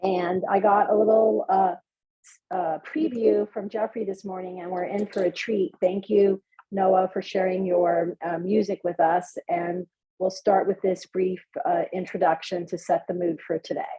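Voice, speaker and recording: A woman hosting a live video call event, pausing often with ums as she introduces music and speakers. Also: fairly low pitch, level tone. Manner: hesitant, many ums. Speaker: event host. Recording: muffled video call audio.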